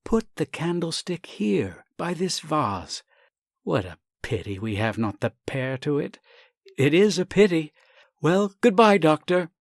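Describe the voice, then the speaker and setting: A man acts out an earnest young man from a comic storybook, effusive and pleading. The voice is lifted high and swings emphatically as he gushes like a devoted son and art lover, recorded dry. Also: very animated, a little slow, no room sound. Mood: cheerful. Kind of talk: acted reading of a comic short story.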